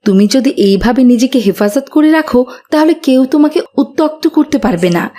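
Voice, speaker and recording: A woman voicing a gentle, caring mother who patiently teaches morals in a children's cartoon. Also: high voice, expressive, moderate pace.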